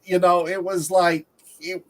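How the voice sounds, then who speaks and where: A man's voice: sits high, level tone, unhurried. A man co-hosting a film review show, talking casually with the other panelists. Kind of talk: casual panel chat about films.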